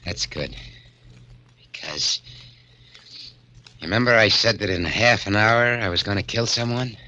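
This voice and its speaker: An actor playing a panicked young male killer in a 1940s radio drama, jumpy and rising to frantic shouts. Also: lowish voice, a little slow, highs cut off. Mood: menacing. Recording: old radio broadcast with faint hiss.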